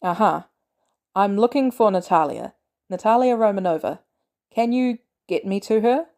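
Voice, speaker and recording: A woman voicing a gruff male soldier on the run in an audiobook, speaking bluntly in clipped, urgent phrases. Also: mid-range voice, expressive, normal speed, a little mellow, close to an everyday voice. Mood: wary.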